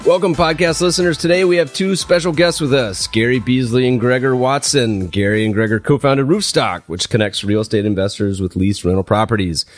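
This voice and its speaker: A man's voice: mid-range voice, a little fast, hardly any pauses, soft background music. A man hosting an interview podcast, chatting casually and a little stuffy from a cold. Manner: relaxed, chatty, with fillers and restarts. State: slightly congested with a cold. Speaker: podcast host.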